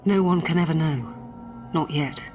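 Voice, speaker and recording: Actress as a low-voiced, guarded professional woman. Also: normal speed, darkish tone, lightly acted. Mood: guarded, tense. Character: secretive, guarded professional woman, brilliant and uneasy. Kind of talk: scripted radio drama acting. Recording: music clearly audible behind voice.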